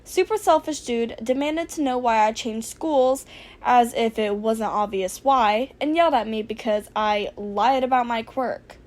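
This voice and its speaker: A woman voicing a sarcastic, dramatic teenage boy hacker in a casual, deadpan way for a fan fiction reading. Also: on the high side, unhurried, lightly acted. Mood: exasperated, sarcastic.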